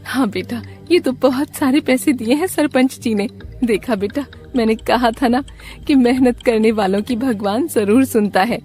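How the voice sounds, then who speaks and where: A woman's voice: a little high, normal speed, darkish tone, faint music behind, voice pushed up, natural voice. A woman voicing a caring, pious village mother in a cartoon story, with a motherly, coaxing manner. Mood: grateful.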